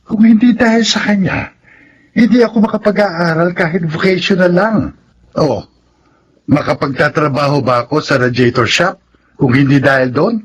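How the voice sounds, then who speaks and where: A man's voice: a little high, moderate pace, slightly rough. A man playing a stubborn, dismissive husband in a Tagalog radio drama, speaking insistently. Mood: defensive. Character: Aldo, stubborn dismissive husband indebted to his sister.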